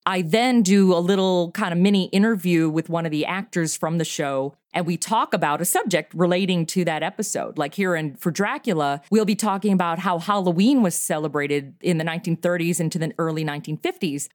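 A woman's voice: on the low side, no echo, studio quality. A woman talking eagerly and chattily about her comedy podcast as an interview guest. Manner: eager, chatty, quick to laugh. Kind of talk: casual interview chat.

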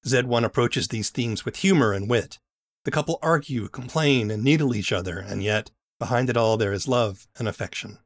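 A man hosting a podcast, reading a scripted introduction in a measured narrating style. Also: medium pitch. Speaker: podcast host.

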